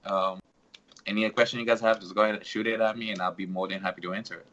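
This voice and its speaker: A man's voice: lowish voice, steady tone, fast talker, a bit bright, highs cut off. A man from Haiti whose first language is Haitian Creole, a guest on a casual podcast, talking in a friendly, rambling way and laughing often. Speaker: guest, fitness instructor.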